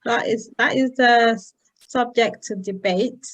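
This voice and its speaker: Nigerian lady hosting a chat, thinks out loud, lots of ums. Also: a little high, steady tone, a little slow. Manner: thoughtful, with many fillers. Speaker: host. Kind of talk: casual panel discussion.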